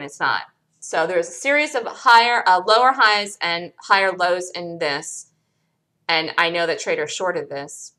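A woman's voice: medium pitch, pushed and bright. A woman analyst commenting on a chart, speaking emphatically and repeating words for stress. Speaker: host, stock trader and analyst. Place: indoors, screen-recorded voice-over.

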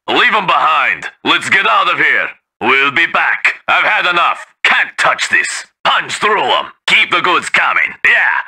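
Forceful, raspy Soviet tank brawler. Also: male voice, a little high, heavily acted. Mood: wary, retreating, then cocky, combative. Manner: taunting, punchy barked phrases. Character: cocky, brawling Soviet tank commander, tough and menacing. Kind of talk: video game unit voice lines.

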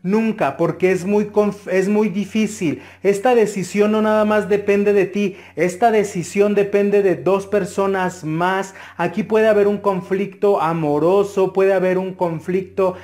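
A man's voice: high-pitched, steady tone, measured pace, few pauses, darkish tone. Mexican man giving earnest tarot readings. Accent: Mexican Spanish. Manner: earnest, advising, with frequent hesitations. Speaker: tarot reader. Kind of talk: interactive tarot reading to camera.

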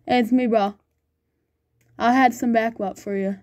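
A woman chatting excitedly while playing an online game with a friend, laughing often and calling everyone bro. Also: on the high side, unhurried. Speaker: gamer recording gameplay. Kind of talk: excited gaming banter with a friend.

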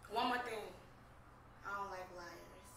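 A woman in her twenties from New Orleans with a slight rasp, talking candidly and confidently. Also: medium pitch, slow pace. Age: 20s. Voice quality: slightly raspy. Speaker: blind date guest. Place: living room with couch. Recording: echoey room, camera far off, background noise.

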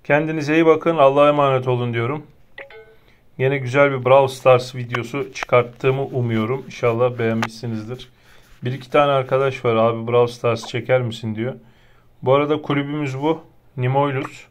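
A man's voice: mid-range voice, steady tone, moderate pace, clean tone. A man giving casual gameplay commentary as a gaming video creator. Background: game music.

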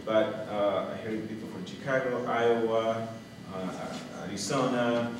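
Low-voiced man presenting in echoey room. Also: fairly even intonation. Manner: halting, searching for words. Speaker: workshop presenter. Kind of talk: informal spoken presentation. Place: meeting room with brick walls, indoors. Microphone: distant camera microphone. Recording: distant, echoey, noisy room recording.

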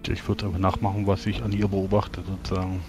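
A man's voice: deep voice, completely flat delivery, fast talker. A man playing a tabletop roleplaying game, hesitantly describing what his character does. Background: soft music.

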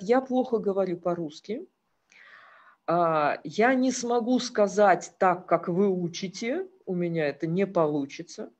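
A woman's voice: mid-range voice, a little mellow, breathy edge, lo-fi sound. A woman giving a lecture with slides, talking earnestly with frequent hesitations and fillers.

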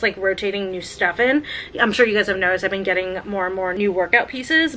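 A woman's voice: mid-range voice, pushed and bright, rough recording. A woman in her thirties, a vlogger, chatting casually about a clothing haul and her makeup, upbeat and thinking aloud with lots of "like"s, filmed at home on a camera mic. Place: bedroom at home, indoors.

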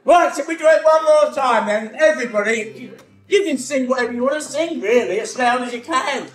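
A man playing a jolly, exuberant pantomime entertainer who coaxes a crowd into singalongs in a shouty stage manner. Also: very high-pitched, brisk. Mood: rallying, excited. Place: theatre or hall stage, live performance. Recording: echoey hall stage recording with music.

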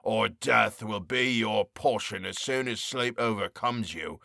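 A man voicing a hideous male jailer with a harsh, croaking voice and a slow, jeering delivery. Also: lowish voice, bright, forceful tone, no echo, clean studio sound, heavily acted. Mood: menacing.

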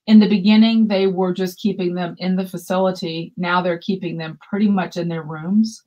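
A woman's voice: lowish voice, steady tone, normal speed, a little mellow, lo-fi sound. A woman in her fifties co-hosting a yarn shop livestream over a video call, chatting and reading viewer comments aloud. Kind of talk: casual livestream chat. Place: room with shelves of yarn behind. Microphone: webcam video call.

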